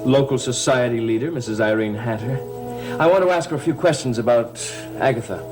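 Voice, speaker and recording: An actor playing a calm, probing man in a gothic television soap, speaking in a measured, deliberate way. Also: medium pitch, over music, lightly acted.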